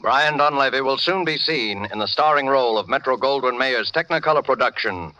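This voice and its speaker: A man's voice: mid-range voice, fairly quick, sharp tone. An American man announcing a radio program in a formal, dramatic 1940s style. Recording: muffled old radio recording with music.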